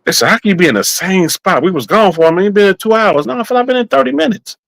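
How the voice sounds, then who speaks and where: A man's voice: high voice, very fast, creaky, no room sound, clean studio sound. A man from New York telling stories in a casual podcast chat, acting out the quotes. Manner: animated storyteller, acts out quotes.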